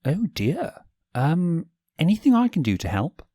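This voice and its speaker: Man voicing a lofty, high-pitched owl prince from a fan storybook reading, posh and cooing, all smug charm. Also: huge pitch swings, a little slow, mellow, breathy edge, no room sound, clean studio sound, full character voice. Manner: cooing, teasing, theatrical. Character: posh, doting male demon owl prince.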